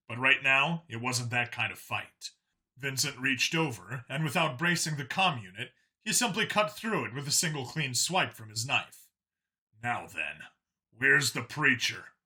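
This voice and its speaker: A man's voice: mid-range voice, lively intonation, moderate pace, sharp tone. A man reading science fiction as a dramatic scripted narration. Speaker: story narrator.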